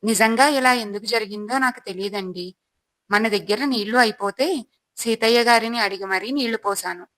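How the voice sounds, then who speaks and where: A woman's voice: fairly high pitch, moderate pace, fairly bright tone, no room sound, close to an everyday voice. A woman playing a worried, earnest and upright village wife and mother in a moral storybook tale. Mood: puzzled.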